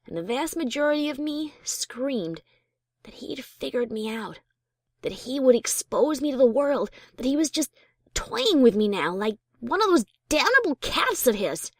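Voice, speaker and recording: Adventurer pony narrator, played by a woman: female, lively varied pitch, wry bravado with nerves underneath, first-person storybook drama. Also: unhurried, no echo, exaggerated voice. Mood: panicked. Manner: expressive, breezy bravado over nerves.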